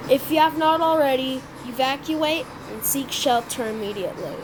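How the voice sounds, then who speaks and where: A woman's voice: high voice, normal speed, natural voice. A woman voicing an emergency alert announcer, reading out an improvised warning with frequent restarts and self-corrections over noisy game audio. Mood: urgent.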